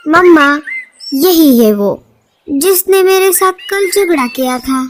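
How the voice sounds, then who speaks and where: Woman voicing a high, plaintive little bird child. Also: animated, slow pace, a little hiss or hum, exaggerated voice. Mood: indignant. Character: small whiny bird child complaining to mother. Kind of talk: Hindi children's cartoon dubbing.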